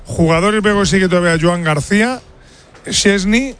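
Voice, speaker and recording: A man reporting live on a sports radio talk show, telling what he sees on the pitch with emphasis. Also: normal speed, fairly bright tone, clean tone. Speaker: reporter at the stadium.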